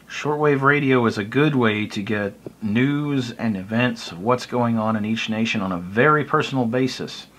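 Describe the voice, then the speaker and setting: A man's voice: medium pitch, fairly bright tone, a little hiss or hum. A middle-aged man with an American accent gives a casual, conversational, rambling explanation to camera, recorded indoors close to the mic. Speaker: hobbyist vlogger. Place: small room indoors by a curtained window.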